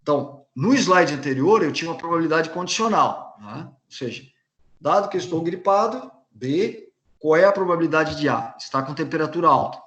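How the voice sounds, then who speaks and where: A Brazilian man teaching a university class from his slides, his voice sitting a little high for a man. He talks patiently, going back over each point and rephrasing it, often stopping and restarting mid-sentence. The recording carries a noticeable room echo. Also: expressive, fairly quick, fairly bright tone. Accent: Brazilian Portuguese. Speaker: university lecturer. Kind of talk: recorded lecture explaining slides. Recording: echoey room.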